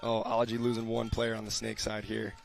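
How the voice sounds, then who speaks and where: A man with a raspy voice doing easygoing color commentary on a paintball match. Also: on the low side, completely flat delivery, a little fast. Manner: easygoing, laughs often.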